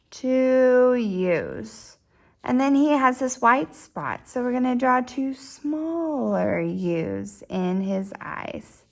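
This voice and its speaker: A woman speaking expressively to young children, as in a read-aloud or simple lesson. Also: mid-range voice. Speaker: storyteller and drawing teacher for children.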